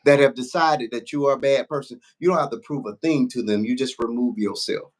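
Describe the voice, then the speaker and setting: A man's voice: medium pitch, brisk. A middle-aged man who hosts a livestream and talks emphatically, sharing advice the way a parent would. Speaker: livestream host. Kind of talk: casual chat, commentary.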